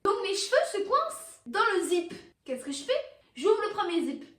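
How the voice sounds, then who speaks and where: A teenage girl telling personal anecdotes in an expressive, dramatic, self-mocking casual style, acting out moments as she goes. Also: extremely high pitch, normal speed, reverberant, recorded from a distance. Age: teenager, about 15. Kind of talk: animated casual storytelling to camera.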